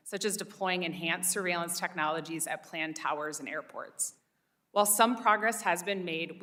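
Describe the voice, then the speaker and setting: Female expert witness, slightly low register, crisp bright tone, formal scripted statement. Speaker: expert witness giving testimony. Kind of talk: formal prepared testimony read aloud.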